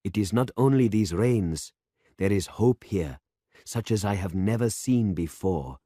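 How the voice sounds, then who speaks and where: A man's voice: lowish voice, lively intonation, warm tone, husky, no room sound, clean studio sound. A man voicing a grave old village pastor. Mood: earnest. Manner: slow and solemn. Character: elderly male village pastor, grave and earnest.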